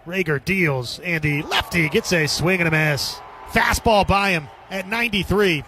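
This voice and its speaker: High-pitched male baseball play-by-play announcer. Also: moderate pace, pushed and bright, slight background noise. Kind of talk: live radio sports commentary.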